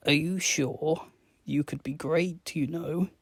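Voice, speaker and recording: A woman reading a storybook, voicing a pondering old magical talking hat with a slow, drawn-out, musing delivery. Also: low-pitched, fairly even intonation, breathy edge, no room sound, pitched below the performer's own voice, exaggerated voice. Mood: coaxing, musing. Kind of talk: storybook read-aloud character voice.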